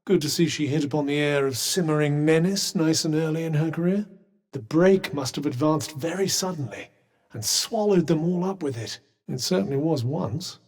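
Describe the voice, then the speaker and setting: A man's voice: on the high side, normal speed, a little mellow. A man with a British accent voicing a haughty, erudite magical cuff in a fantasy video game, with polished diction and dry sarcasm. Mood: dry, somber. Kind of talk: scripted game companion dialogue.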